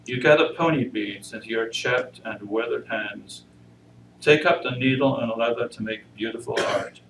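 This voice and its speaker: A man introducing and reading poetry at a church service, measured and solemn. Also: medium pitch, fairly bright tone. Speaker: congregation member reading inmates' poetry. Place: church service, indoor room. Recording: roomy, noisy pickup far from mic.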